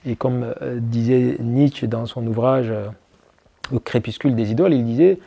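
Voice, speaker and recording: A man's voice: mid-range voice, normal speed, vocal fry, warm tone, slightly rough. A man as an interview guest, answering reflectively with many hesitations and restarts. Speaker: guest, army officer.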